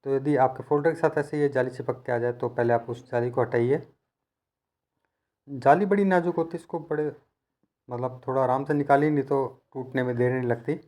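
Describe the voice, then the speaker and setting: A man talks through practical repair work in a conversational tutorial style. His voice sits at a medium pitch. He explains each step patiently, like a working technician teaching his trade. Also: fairly even intonation, a little fast, a little mellow. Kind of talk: hands-on instructional narration, conversational.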